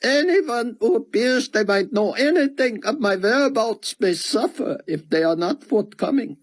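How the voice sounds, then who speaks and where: A man voicing an elderly rabbi who speaks softly and worries for his friends. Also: extremely high pitch, animated, moderate pace, voice pushed up, exaggerated voice. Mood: worried. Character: elderly male rabbi, soft-spoken, fearful for friends.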